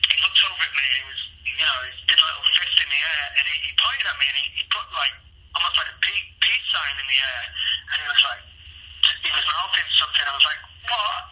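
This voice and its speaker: A man with a Mancunian accent from Manchester, an actor answering interview questions in a halting way, full of ums and restarts. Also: a little high, bright, forceful tone, slight background noise, low-quality recording. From Manchester, England. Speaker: actor guest.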